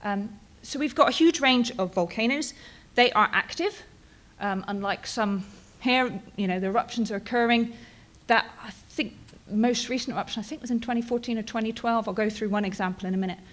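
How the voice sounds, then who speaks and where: British woman in her 30s, fairly high voice, relaxed conference presenter, chatty with filler words. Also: a little fast, few pauses. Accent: British English. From England, Bristol. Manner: casual and chatty, frequent ums and tag questions. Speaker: postdoctoral researcher presenting.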